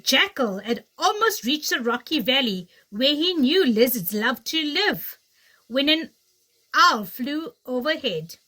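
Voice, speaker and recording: A woman teacher reading a children's story aloud in an animated way, addressing young children. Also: high voice, slow pace. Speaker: pre-primary teacher, storyteller.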